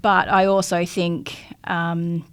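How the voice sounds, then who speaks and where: A woman's voice: on the low side, little pitch movement, slow pace, clear, resonant tone. A woman, telling her story candidly as a guest on a podcast interview. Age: 40s. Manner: candid storytelling with frequent restarts.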